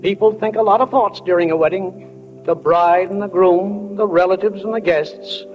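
A woman's voice: medium pitch, fairly even intonation, normal speed, faint music behind, lightly acted. A woman playing a folksy, plainspoken small-town stage manager who narrates an old radio drama in a dull, reverberant recording. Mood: folksy, musing.

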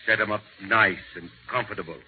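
Brash Mexican bandit boss, a man putting it on, gruff and commanding, old tinny radio play. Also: lowish voice, fairly even intonation, fairly quick. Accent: put-on Mexican. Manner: commanding, gruff barking orders. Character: brash middle-aged male Mexican bandit chief. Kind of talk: 1930s radio acting.

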